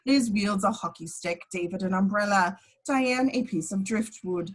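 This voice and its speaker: Casting: female action narrator for a script read-through, mid-pitched. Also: normal speed. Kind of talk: scripted narration, reading screenplay directions.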